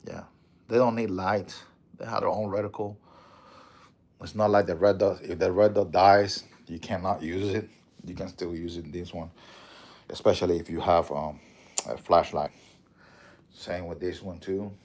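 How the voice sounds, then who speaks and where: A man's voice: low voice, fairly even intonation, moderate pace, long pauses, a little mellow. A man with a strong Puerto Rican accent hosting a casual livestream, rambling, swearing freely and answering viewer comments. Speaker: livestream host.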